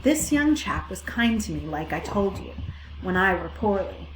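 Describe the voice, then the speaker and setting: A woman voicing a bossy, motherly old ewe with a hoarse, croaking voice and blunt rustic country dialect. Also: a little high, expressive, measured pace, slight background noise, pitched above the performer's own voice. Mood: commanding. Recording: echoey room.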